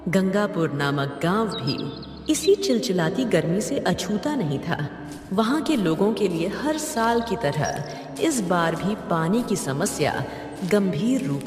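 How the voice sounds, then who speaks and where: A woman narrating a scripted moral story in storybook style. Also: lowish voice. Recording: distant echoey reverb, music behind.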